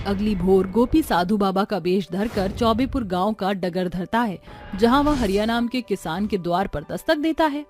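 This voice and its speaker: A woman's voice: mid-range voice, normal speed, a little mellow, faint music behind. Female storyteller narrating a village folk tale.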